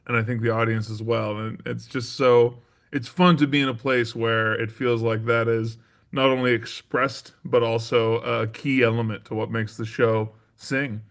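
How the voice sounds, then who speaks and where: A man giving thoughtful, reflective answers as a performer being interviewed, in halting phrases. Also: medium pitch, flat delivery. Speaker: interview guest, stage performer.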